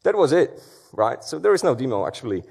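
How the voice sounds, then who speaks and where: Man, thirties, relaxed stage presenter who talks through restarts. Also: medium pitch, quick pace, gravelly, husky. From Poland. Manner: conversational, many fillers and restarts. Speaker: security conference speaker. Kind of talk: conference talk. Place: large dark auditorium stage.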